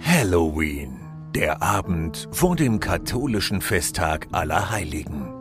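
A man's voice: sits low, big pitch swings. A man playing an omniscient, solemn and wryly ominous narrator of a spooky fairy-tale audio drama. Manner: theatrical, deliberate, tongue-in-cheek. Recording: music under the voice. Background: music.

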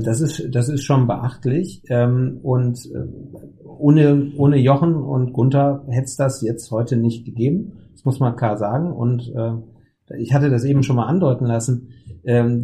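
A man with a very dark, mellow voice talks through his points in a relaxed podcast chat, pausing often on fillers and restarting his sentences. He sits at a middle pitch and speaks in a plain, unhurried way. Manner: halting, many fillers and restarts. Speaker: committee lead of an association. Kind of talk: casual podcast conversation.

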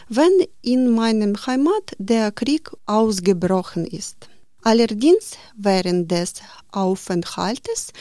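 A woman's voice: fairly high pitch, a little mellow, no echo, clean studio sound. A woman in her forties from Ukraine, reading out a personal statement in careful learner's German. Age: 40s. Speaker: course participant.

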